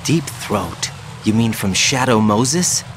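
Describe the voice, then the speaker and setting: An actor playing an earnest, inquisitive young special operations soldier in a video game. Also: male voice, lowish voice, lively intonation, slow pace, slight background noise. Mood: suspicious. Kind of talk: dramatic game voice acting.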